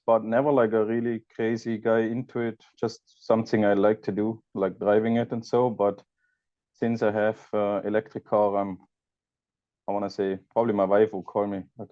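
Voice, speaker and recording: A man from Austria with an Austrian accent, a podcast guest chatting casually and pausing to search for words. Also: lowish voice, little pitch movement, mellow, dry recording, dull audio. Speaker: guest, EV content creator. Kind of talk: casual interview chat.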